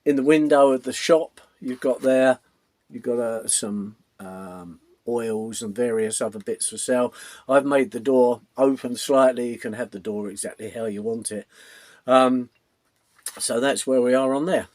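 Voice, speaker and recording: A man with a croaky voice from a cold talks slowly and unhurriedly, pausing on lots of ums as he shows each part. The recording is dry and close, with no room sound. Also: mid-range voice, darkish tone, no echo. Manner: unhurried, many ums, explains while showing parts. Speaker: model-making channel host. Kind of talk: casual step-by-step demonstration.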